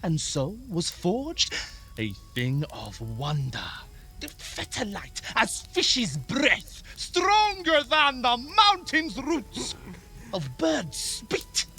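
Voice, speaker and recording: Brief: saga chanter, wildly lilting, unhurried. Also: female voice, fairly high pitch, big pitch swings, sharp tone, faint music behind, full character voice. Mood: solemn. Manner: sing-song, bardic chanting. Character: mythic storyteller chanting old legend.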